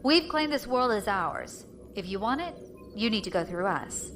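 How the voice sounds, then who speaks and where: A woman's voice: medium pitch, big pitch swings, normal speed, soft background music, natural voice. A woman playing a defiant, commanding rebel fleet leader in an audio drama. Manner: commanding, composed.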